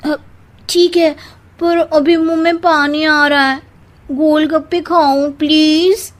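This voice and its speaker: Casting: actress as whiny young cartoon wife, extremely high voice, dramatic and petulant. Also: female voice, flat delivery, slow. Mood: pleading. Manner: exaggerated, pouty complaining. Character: whiny, food-obsessed young married woman, dramatic and petulant. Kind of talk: Hindi cartoon story dialogue.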